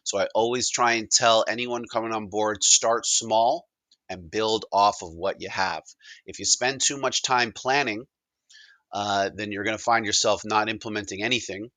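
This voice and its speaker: A man's voice: on the low side, steady tone, moderate pace, pushed and bright, no room sound. A man with a Canadian accent giving an informal, plain-spoken explanatory presentation in a conversational way. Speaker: presenter, digital and AI consultant.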